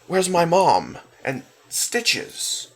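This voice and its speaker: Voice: mid-range voice, big pitch swings, extremely slow, husky, pitched above the performer's own voice, heavily acted. A reader voicing an injured boy in hospital, anxious and demanding, in an insistent dramatic story reading. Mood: angry, demanding.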